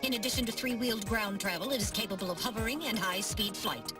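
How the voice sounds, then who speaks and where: A woman's voice: mid-range voice, quick pace, lightly acted. A woman voicing a small, dutiful robot assistant in a video game, speaking in formal, precise phrases. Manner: formal, precise, informative diction. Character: small polite robot personal-assistant navigator, dutiful and earnest. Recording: distant voice under loud music.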